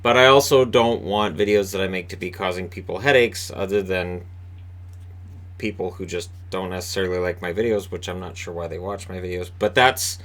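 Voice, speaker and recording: A man hosting a casual solo hockey recap from a room in his home, with a booming voice, talking in a rambling, chatty way with lots of fillers and restarts. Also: fairly bright tone. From Canada. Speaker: hockey commentator and video host.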